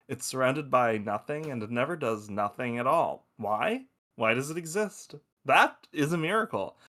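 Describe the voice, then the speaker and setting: A man's voice: mid-range voice, fairly bright tone, natural voice. Irreverent, philosophizing space probe played by a man. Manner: folksy, irreverent, emphatic. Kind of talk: table read of a science fiction story.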